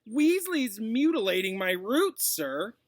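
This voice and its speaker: Guy doing a sneery spoiled school bully kid, drawly fake-pitiful, pitched up, storybook read aloud. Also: sing-song, takes it slowly, no room sound, clean studio sound, full character voice. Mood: whiny, wheedling.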